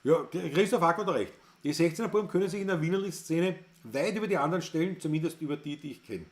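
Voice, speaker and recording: A man's voice: on the high side, fast, echoey room. A man with a Viennese accent hosting a music livestream, chatting in a rambling, opinionated way and reading viewer comments aloud. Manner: rambling and opinionated, circling back on his words.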